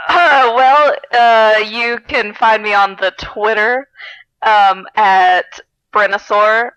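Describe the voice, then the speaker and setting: A woman's voice: mid-range voice, steady tone, takes it slowly, a bit bright, clean tone, dry recording, muffled recording. A woman co-hosting a podcast in casual comedic banter, playful and self-deprecating with dry jokes. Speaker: podcast co-host.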